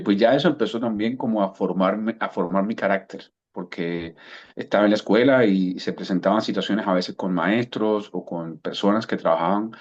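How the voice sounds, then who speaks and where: Man in his fifties, Cuban accent softened by years in Colombia, low voice, reflective storyteller who restarts phrases, lo-fi call audio. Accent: Cuban Spanish softened by years in Colombia. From Cuba. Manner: thoughtful, repeats words while searching for them. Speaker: guest coach. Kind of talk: relaxed storytelling interview answer.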